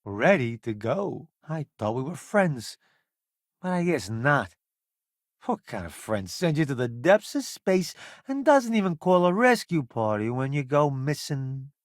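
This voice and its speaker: A man's voice: mid-range voice, big pitch swings, a little slow, no room sound, studio quality. A man voicing a vain, wisecracking, cowardly food-columnist sidekick in a space video game, with a breezy, theatrical, boastful delivery. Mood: casual, wry. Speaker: companion character in a space game. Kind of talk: animated video game voice acting.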